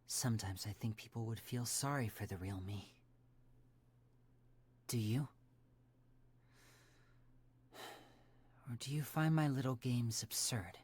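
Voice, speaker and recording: A woman's voice: a bass voice, normal speed, long pauses, darkish tone. A woman voicing a charming, teasing young male stage magician in a video game, with a theatrical, playful manner. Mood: quietly confiding. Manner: theatrical, playful, smooth-talking. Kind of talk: scripted video game voice acting.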